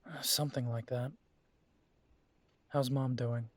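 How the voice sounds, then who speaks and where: A man's voice: mid-range voice, fairly even intonation, takes it slowly, no echo, lightly acted. A man playing a restless grown son. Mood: pressing. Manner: hesitant, then pressing and insistent.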